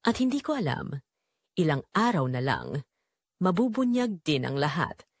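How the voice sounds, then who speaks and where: Expressive Filipino woman narrator, dry close sound. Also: fairly low pitch, moderate pace, no echo. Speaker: narrator of an audio drama. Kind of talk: dramatic scripted first-person storytelling.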